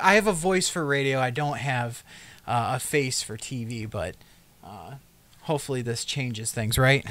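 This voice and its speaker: A man hosting a live talk show, chatty, excitable and self-deprecating, a little nervous. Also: a little high, no echo. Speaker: host.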